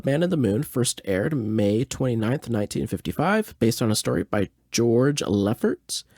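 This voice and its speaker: Animated casual man, close dry sound. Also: on the low side, no room sound. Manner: informal, joking. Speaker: podcast host. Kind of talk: casual chat, reacting to old radio plays.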